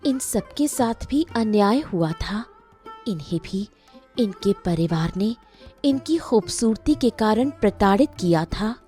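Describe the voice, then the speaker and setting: Casting: young female ghost queen played by a woman, gentle, wronged, solemn; mellow, unhurried delivery for a cartoon fable. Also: medium pitch, expressive, soft background music, close to an everyday voice. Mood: sorrowful, solemn.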